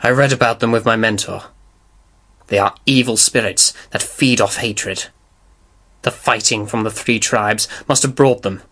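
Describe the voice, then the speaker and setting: A woman's voice: a bass voice, fairly bright tone. A woman playing an earnest, bookish young unicorn apprentice who speaks solemnly in an audio drama.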